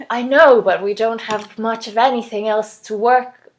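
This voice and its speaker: A woman playing a tabletop roleplaying game with friends, joking, laughing and talking quickly. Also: a little high, fairly even intonation, a little hiss or hum. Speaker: podcast co-host and player. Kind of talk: casual roleplay chat.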